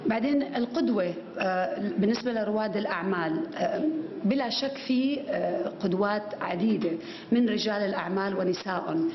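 A woman's voice: medium pitch, brisk, roomy sound, muffled recording, slight background noise. A woman gives a conference talk from a podium microphone. She gestures as she speaks and uses many fillers and restarts. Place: conference hall with stage.

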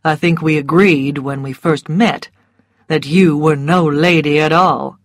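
A woman reading as a lazy, mocking gentleman. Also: sits low, steady tone, measured pace, no echo, studio quality. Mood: teasing. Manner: lazy, bored drawl. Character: mocking cynical Southern gentleman, male adult.

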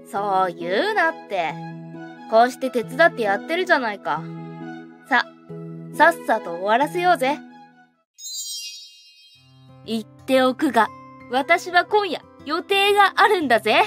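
A woman's voice: sits high, sing-song, measured pace, pauses often, over music. A woman playing a mischievous tomboyish young witch in an anime-style fan voice drama, brash and boyish in her speech. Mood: cocky, scheming.

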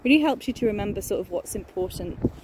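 A woman's voice: medium pitch, animated, fast. A woman in her thirties chatting enthusiastically while filming a walk outdoors, talking into a phone held at arm's length. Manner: enthusiastic, chatty, many fillers. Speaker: nature vlogger. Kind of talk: casual walking vlog. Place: outdoors in woodland and on a beach. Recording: outdoor phone recording with noise. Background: distant planes and outdoor ambience.

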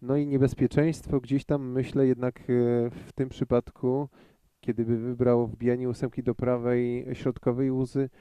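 A man giving live pool commentary in a measured way, thinking aloud with frequent hesitations. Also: mid-range voice, level tone, dark tone, dry recording.

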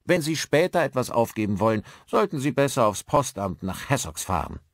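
A man voicing a friendly, chatty village postman in an audiobook, with a brisk, helpful manner. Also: mid-range voice, very animated, dry recording, studio quality, pitched above the performer's own voice. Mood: cheerful, helpful.

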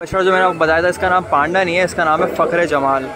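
A man's voice: fairly high pitch, steady tone, very fast, crowd noise, noisy background. A man in his twenties with a Pakistani accent, chattily addressing viewers as a vlogger and repeating phrases for emphasis. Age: 20s. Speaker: vlogger and host. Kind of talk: enthusiastic vlog commentary and street interviewing. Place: outdoors at night in a crowded tented cattle display.

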